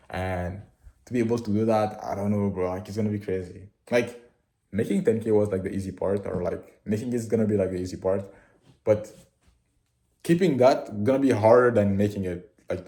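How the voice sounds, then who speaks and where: A man's voice: lowish voice, dark tone, roomy sound. A young man of nineteen talking through his goals in a casual daily vlog, rambling with many fillers and restarts. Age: late teens. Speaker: vlogger.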